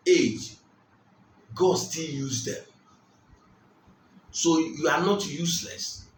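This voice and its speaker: Male preacher, slow, emphatic delivery, repeats key lines, mid pitch, distant mic in an echoey room. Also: fairly bright tone, a little hiss or hum. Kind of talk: sermon. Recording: roomy, distant mic, faint ambience.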